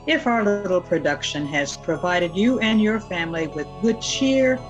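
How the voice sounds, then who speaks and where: A woman's voice: on the low side, animated, normal speed, rough recording. A woman reading scripted closing announcements for a radio production. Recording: music underneath.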